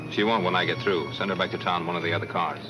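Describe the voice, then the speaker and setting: An actor playing a shrewd, determined police detective in a 1940s movie serial, clipped and businesslike. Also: male voice, low voice, steady tone, rapid-fire, close to an everyday voice. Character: shrewd, determined male police detective running a secret plan. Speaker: film actor. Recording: old 1940s film soundtrack, music behind.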